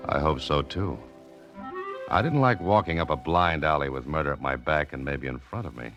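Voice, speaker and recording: A very low-voiced, tough male sleuth in an old radio mystery. He is terse, deadpan and dogged, in hardboiled 1940s style. The recording is muffled and vintage, with music clearly underneath. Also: moderate pace. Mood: terse, determined. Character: tough, dogged male amateur sleuth, ex-newspaperman, narrating his case. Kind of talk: 1940s radio detective drama acting and hardboiled narration.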